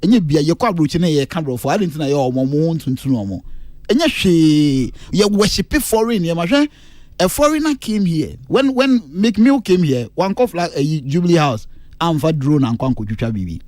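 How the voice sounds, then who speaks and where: A man's voice: a little high, very animated, normal speed, darkish tone, no room sound. A man with a Ghanaian accent arguing emphatically on a radio entertainment panel, mixing languages. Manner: emphatic, argumentative, switches languages. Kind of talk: animated radio panel discussion. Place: radio studio.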